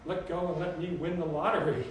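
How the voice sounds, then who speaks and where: A man's voice: a little high, little pitch movement, brisk, lightly acted. A man voicing a frustrated, wistful grown granddaughter in an audiobook. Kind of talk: audiobook character dialogue. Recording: very echoey room, distant mic, faint noise.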